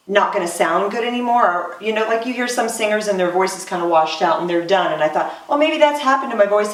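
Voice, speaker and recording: A woman in her forties, a singer, talking earnestly in a casual interview with many pauses and "um"s, recorded roomy from off-mic. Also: medium pitch, recorded from a distance. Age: 40s. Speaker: singer and voice student.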